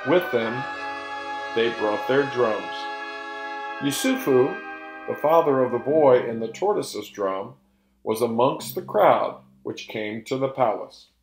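A middle-aged man narrating a folktale in a deliberate, emphatic, theatrical storyteller's delivery. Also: medium pitch, lively intonation. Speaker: storyteller and presenter. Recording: roomy echo with music behind.

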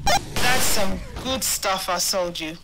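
Game trader, female, brisk and sardonic; with a lively, slightly high delivery over game music. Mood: dry, commanding.

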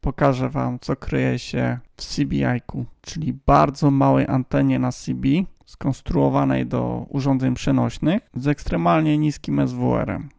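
A man's voice: mid-range voice, flat delivery, unhurried, creaky, hardly any pauses, a little mellow, dry recording, clean studio sound. A man giving a casual, playful, tongue-in-cheek explainer voice-over as a hobbyist video host.

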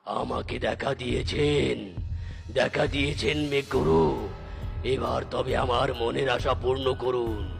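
A man voicing a boastful, scheming male cloud demon in a cartoon story, menacing and booming. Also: steady tone, creaky. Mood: pleading. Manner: slow, menacing, booming villain delivery. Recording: loud background music.

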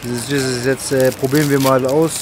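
A man's voice: mid-range voice, level tone, moderate pace. A young man, about twenties, explaining local sights in a casual, slangy vlog style. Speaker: vlogger and guide. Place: outdoor streets and covered market. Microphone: handheld camera. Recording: clearly noisy street and market ambience.

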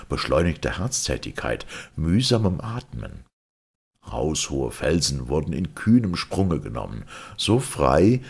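Male narrator for vintage sci-fi audiobook: gravelly, lively intonation, dramatic storytelling. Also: extremely low pitch. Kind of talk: scripted narration of an old science-fiction novel.

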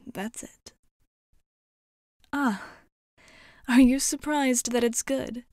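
A woman playing a poised, worldly female vampire bartender in an ASMR roleplay, speaking in a measured, formal, teasing way with whispery breathiness. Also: sits high, fairly even intonation, a little mellow, no room sound, clean studio sound. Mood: gently teasing.

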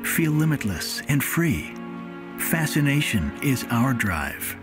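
A man reading a scripted promotional voice-over in a measured, deliberate way, pausing slowly between phrases. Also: medium pitch, big pitch swings. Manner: measured, deliberate delivery with slow pauses. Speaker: corporate video narrator. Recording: voice-over under loud music.

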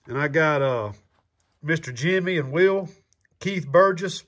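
A man's voice: a little high, unhurried, fairly bright tone. A man, chatting casually to his viewers in an easygoing vlog, laughing often. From southern United States. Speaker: vlogger.

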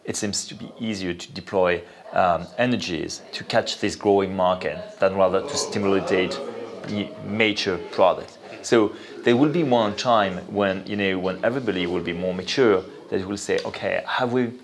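Male interview guest, French accent, low-pitched, explains in a halting, thinking-aloud way. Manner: halting, thinking aloud with repeated "you know". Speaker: interview guest, venture capital investor. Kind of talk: spoken interview, explanatory talk.

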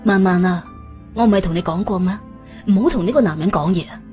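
A woman's voice: fairly low pitch, fast talker, warm tone, highs cut off, soft background music, natural voice. A woman playing an anxious, short-tempered young mother in a scripted audio drama. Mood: cold, reproachful.

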